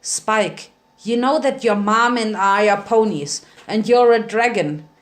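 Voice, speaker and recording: Storybook pony father, male, female reader, weary, tender, remorseful, halting delivery. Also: mid-range voice, close to an everyday voice. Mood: weary, hesitant. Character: lanky stallion pony father, guilt-ridden, weary, loving.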